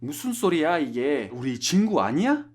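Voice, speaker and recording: A man putting on a pushy, whiny male friend who talks insistently and without pause. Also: a little high, very animated, darkish tone, faint music behind. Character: pushy adult male friend chattering in Korean.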